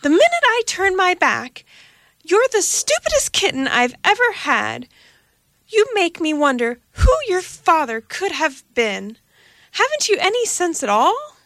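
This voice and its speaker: Fierce mother cat, scolding and menacing, high and sharp, read by a woman. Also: sing-song, a little slow, no room sound, heavily acted.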